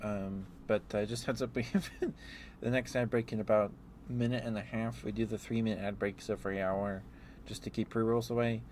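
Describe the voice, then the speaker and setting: A man chatting casually on an ASMR livestream as the host, in a hesitant, halting, chuckly and apologetic way. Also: fairly low pitch, flat delivery, a little mellow, a little hiss or hum. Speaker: live streamer hosting an ASMR stream.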